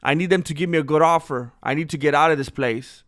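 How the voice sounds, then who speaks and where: High, smooth-voiced male streamer. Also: fast, fairly bright tone, dry recording, clean studio sound. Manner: jokey, breaks into off-key singing and ad-jingle bits. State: losing his voice. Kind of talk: casual live-stream chat.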